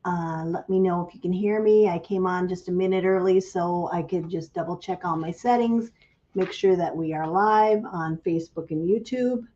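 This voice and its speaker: Woman, slightly raspy, mellow tone, dull lo-fi stream audio, chatty livestream host. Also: lowish voice, normal speed, slight background noise. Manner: friendly, greets viewers by name. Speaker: craft business owner hosting a livestream. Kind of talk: casual livestream chat.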